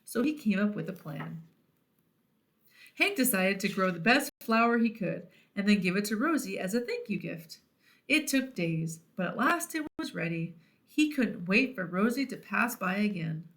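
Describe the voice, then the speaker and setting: A woman reading a picture book aloud to children in an expressive storytelling style. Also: mid-range voice, normal speed. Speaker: children's read-aloud host.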